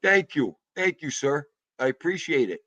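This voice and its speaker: A man's voice: a little high, big pitch swings, normal speed, a bit bright, no room sound, muffled recording. A man hosting a casual livestream chat, greeting viewers and laughing often. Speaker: livestream host.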